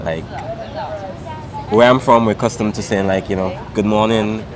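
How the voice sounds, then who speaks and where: A man's voice: fairly low pitch, moderate pace, a crowd in the background. A young man from Saint Vincent and the Grenadines, chatting in a casual interview with lots of "um" and "like". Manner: relaxed, many fillers like "um" and "like".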